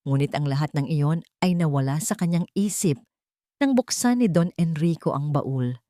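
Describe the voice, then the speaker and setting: A woman's voice: deep voice, warm tone, no echo, studio quality. A woman with a Filipino accent reading a scripted story as a dramatic narrator.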